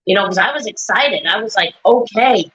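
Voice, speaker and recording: A woman speaking loudly and at length on a live stream from an echoey room, repeating her points as she talks. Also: medium pitch, lively intonation, fairly quick, lo-fi sound. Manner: loud, talkative, repeats herself. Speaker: guest, bereaved mother.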